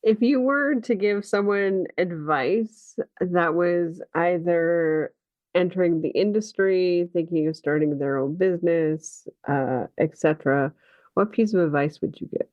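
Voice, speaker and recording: A woman's voice: on the low side, a little slow, a little mellow, no room sound. Female interviewer, dry slightly muffled sound. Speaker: podcast host, interviewer. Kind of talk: casual conversational interviewing. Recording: dull, dry lo-fi audio.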